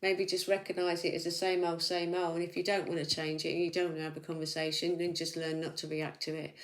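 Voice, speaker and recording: A woman's voice: on the low side, little pitch movement, fast. A woman giving a casual weekly tarot reading, chatty and reassuring, thinking aloud as she goes, with a slight sore throat. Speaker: tarot reader.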